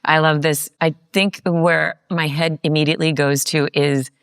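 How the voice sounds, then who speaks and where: A woman's voice: sits low, little pitch movement, moderate pace, clear, resonant tone, no room sound, studio quality. A woman hosting a fireside interview, encouraging her guest with short, affirming replies. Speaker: host.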